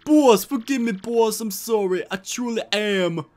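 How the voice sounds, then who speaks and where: A man's voice: high-pitched, measured pace, darkish tone, faint music behind. A man voicing an earnest, loyal young mobster in a game, urgently pleading with his boss.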